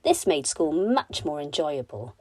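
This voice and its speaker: A woman storyteller gently and expressively narrating a bedtime story for children. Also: low voice, sing-song, a little slow, husky, no echo.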